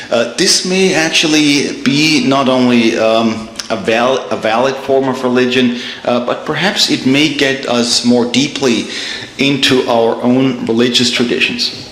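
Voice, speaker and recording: A middle-aged man from Germany with a German accent, giving a measured public lecture with many uh and um fillers and repeated words. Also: medium pitch, a bit bright. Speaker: guest speaker, theologian. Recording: echoey room, faint ambience.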